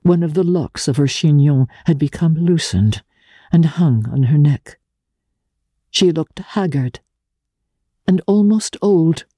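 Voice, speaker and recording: A woman narrating literary fiction in a grave, deliberate, dramatic style, as an audiobook narrator. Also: low-pitched, lively intonation, dark tone, breathy edge, no room sound, studio quality.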